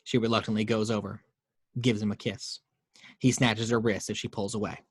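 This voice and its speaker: A man's voice: lowish voice, level tone, normal speed, husky, no room sound, clean studio sound. Man reading screenplay action lines.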